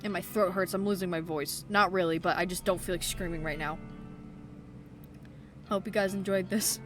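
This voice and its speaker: A woman's voice: fairly even intonation, normal speed, over music. A young woman playing a game on a desk microphone, reading the game text aloud and screaming and swearing in shock. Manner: reads game narration in a lower, quieter tone. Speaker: let's play gamer. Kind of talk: game playthrough commentary with read-aloud game text. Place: home gaming desk.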